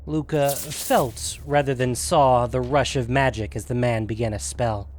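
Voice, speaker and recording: A man's voice: mid-range voice, steady tone, a little slow, a little mellow. Male fantasy narrator over faint music. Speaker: narrator of a fiction podcast. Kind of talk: scripted fantasy story narration.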